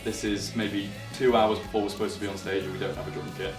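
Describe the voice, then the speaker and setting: A man's voice: on the low side, little pitch movement, fast. An eighteen-year-old man, telling stories casually in a documentary interview. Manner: casual, rambling storytelling. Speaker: band's lead singer and guitarist. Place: band practice room. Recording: echoey room, far from mic, music behind.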